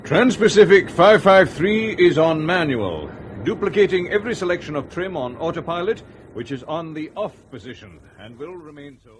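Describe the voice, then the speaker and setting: An actor playing a composed, courteous airline captain with clipped procedural phrasing in a radio drama. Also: male voice, expressive, normal speed, close to an everyday voice. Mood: focused, formal. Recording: noisy, with engine rumble behind.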